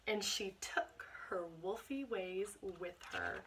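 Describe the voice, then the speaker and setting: An American woman in her twenties reads a picture book aloud to children with asides, in an expressive, encouraging manner. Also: mid-range voice, slow pace. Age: 20s. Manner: expressive, encouraging, addressing young listeners. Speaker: teacher reading aloud to children. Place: small bedroom indoors. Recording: clear background noise, home camera microphone.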